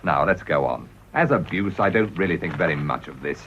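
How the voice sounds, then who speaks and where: An actor playing an unflappable British financier and rogue politician in a period radio drama, breezy, sardonic and self-assured. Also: male voice, extremely low pitch, expressive, fairly quick, a little hiss or hum. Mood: sardonic, unruffled. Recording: dull lo-fi radio broadcast recording.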